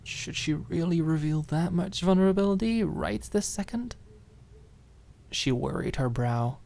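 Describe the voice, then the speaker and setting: Female narrator for ASMR drama audiobook: scripted fiction, expressive and deliberate delivery. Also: deep voice, gravelly.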